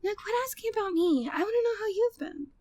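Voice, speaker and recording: A woman's voice: very high-pitched, lively intonation, quick pace, a little mellow. A woman playing a flirty, devoted, gossipy maid at a manor in an ASMR audio drama, speaking affectionately and teasingly in formal period wording. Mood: curious, playful.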